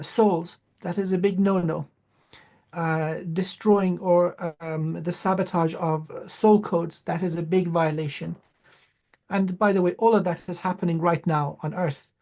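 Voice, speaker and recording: A man whose first language is Farsi, speaking as an interview guest with many "uh" pauses and emphatic repetition. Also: high-pitched, vocal fry, mellow. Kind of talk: spiritual interview talk.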